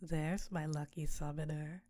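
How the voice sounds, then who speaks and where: A woman voicing an ancient succubus queen in an audio roleplay, talking slowly and sultrily with soft whispers. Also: deep voice, fairly even intonation. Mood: seductive.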